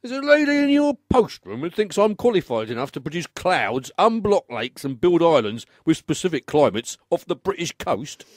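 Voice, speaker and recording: A put-upon odd-job man played by a man in a radio play, obliging yet wry. His voice is on the high side and very animated, rising and falling with disbelief, in a close, dry recording. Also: normal speed, hardly any pauses, no echo. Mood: wry, incredulous. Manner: wry, incredulous, conversational.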